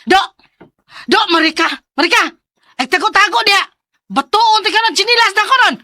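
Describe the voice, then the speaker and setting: A scolding Cebuano mother in a radio drama, very high-pitched and piercing. She fires off outraged questions and exclamations in a forceful, bright voice, recorded close and dry. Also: expressive, moderate pace, no echo, heavily acted. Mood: shocked, furious. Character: furious scolding mother of a pregnant teenage daughter. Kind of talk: Visayan radio drama acting.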